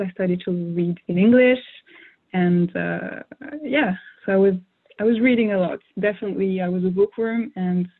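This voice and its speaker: A woman's voice: lowish voice, husky. Woman on a tinny phone-like line. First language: French. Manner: thoughtful, many "uh" fillers and restarts. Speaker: interview guest. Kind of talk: conversational interview answers. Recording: phone-like narrow sound.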